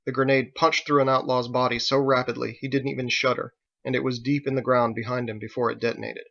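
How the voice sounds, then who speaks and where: Male audiobook narrator, middle pitch, creaky and forceful, dramatic prose reading, slightly dull recording. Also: level tone, fast talker. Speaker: author reading own novel.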